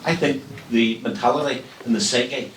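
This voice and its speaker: A man speaks with rising passion and stresses his points hard. The mic is far off, and the hall echoes around him. A clear hiss runs under the sound. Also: mid-range voice, moderate pace. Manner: impassioned, emphatic. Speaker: panel speaker. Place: large hall.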